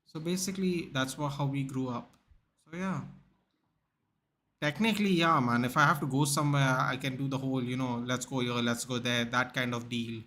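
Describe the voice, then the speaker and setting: A man's voice: mid-range voice, steady tone, normal speed, fairly bright tone, slight background noise. A young man with an Indian English accent from Goa, hosting a casual livestream and chatting with viewers by name, full of restarts and "dude" and "man". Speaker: livestream host. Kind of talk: casual livestream chat reading out viewer comments.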